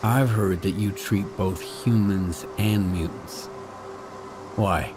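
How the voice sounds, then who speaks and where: An actor playing a steady, terse wanderer in a video game, gruff and reserved. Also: male voice, low-pitched, slow pace, over music. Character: terse, steady male wasteland wanderer, gruff but helpful. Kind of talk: dramatic video game dialogue.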